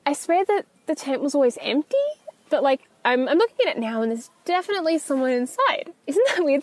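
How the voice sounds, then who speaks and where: High-voiced, nervously rambling female store worker, acted by a woman. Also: a little mellow, no room sound, natural voice. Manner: hesitant, rambling, nervous stammering and self-correcting. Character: anxious, rambling, over-polite young female grocery store employee. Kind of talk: naturalistic audio fiction monologue, like a voicemail.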